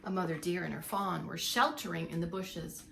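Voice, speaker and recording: A woman's voice: on the low side, moderate pace, creaky, background noise. A woman reading a story aloud like a preschool teacher, with dramatic emphasis and asides to young listeners. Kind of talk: expressive read-aloud storytelling for young children.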